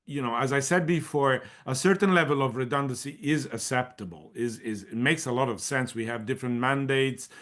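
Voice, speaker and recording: Guy on a webinar panel, mid voice, repeats himself a lot while thinking out loud. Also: medium pitch, brisk, hardly any pauses. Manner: thinking aloud with frequent repetitions. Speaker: panelist leading a community of practice. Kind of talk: panel discussion answer.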